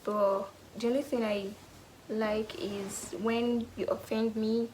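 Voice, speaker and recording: A young Nigerian woman answering get-to-know-me questions in a casual vlog, thoughtful, pausing often and sometimes dropping to a whisper. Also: on the high side, level tone, slight background noise, low-quality recording. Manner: thoughtful, pauses with "hmm" and "um", drops to a soft whisper at times. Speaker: vlogger.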